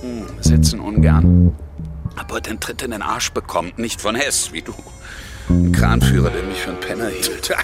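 Radio drama: world-weary, needling male detective, very animated low delivery, slightly off-mic under loud music. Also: moderate pace, far from the mic. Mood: teasing, needling. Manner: teasing, grumbling, swings from exclamation to muttering. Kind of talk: naturalistic German radio drama acting.

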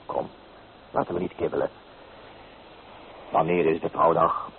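An actor playing a teasing, free-spirited young painter in an old Dutch radio play, with theatrical delivery. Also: male voice, extremely low pitch, little pitch movement, measured pace. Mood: apologetic, gallant. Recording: muffled, noisy old radio recording.

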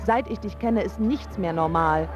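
A woman's voice: medium pitch, normal speed, soft background music, natural voice. An actress playing a passionate, wounded young woman in love, in a radio drama with first-person narration. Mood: angry, reproachful.

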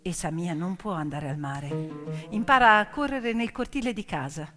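A woman's voice: fairly low pitch, expressive, moderate pace. Woman storyteller in a long-echoing hall. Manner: emphatic, swinging from hushed to declaimed. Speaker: stage actress and storyteller. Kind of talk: dramatic theatrical storytelling monologue with piano. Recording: long reverberant hall with music. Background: piano music.

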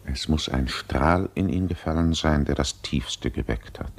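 Solemn physician, bass voice, slow measured delivery, authoritative, compassionate, theatrical radio play. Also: male voice. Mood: solemn, moved.

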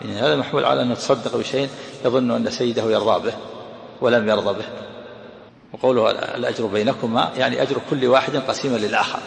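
Man, gravelly, quick, teaching hadith, echoey hall with faint noise. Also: medium pitch, fairly even intonation. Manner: explanatory, repeats phrases. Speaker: sheikh explaining hadith. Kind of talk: hadith commentary lesson.

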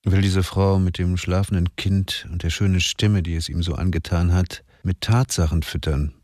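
A man narrating a radio crime drama in a measured storytelling voice. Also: sits low, steady tone, darkish tone, no echo, clean studio sound. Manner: measured, present-tense storytelling.

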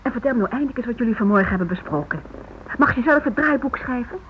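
A woman's voice: fairly high pitch, animated, fast talker, lightly acted. An actress playing an eager, practical wife and mother in a radio play, speaking in a probing, coaxing way. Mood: eager, affectionate. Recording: muffled old radio recording, faint noise.

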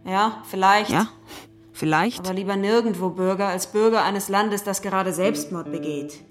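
A woman's voice: medium pitch, moderate pace, over music. A woman giving a scripted dramatic narration in the third person, as the narrator of a stage play.

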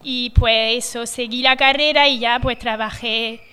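French-born woman, nursery teacher in interview, high clear voice, halting. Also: steady tone, pushed and bright. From France. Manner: hesitant, many fillers. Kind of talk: radio interview.

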